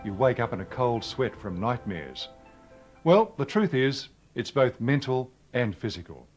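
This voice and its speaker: Australian male presenter with lively intonation. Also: mid-range voice, soft background music. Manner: measured, explanatory. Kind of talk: scripted educational narration.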